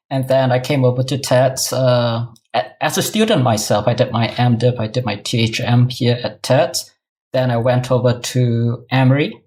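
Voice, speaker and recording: Man, middle-aged, Singaporean accent, mid-pitched, conversational, academic interview guest. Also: darkish tone. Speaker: guest, New Testament professor.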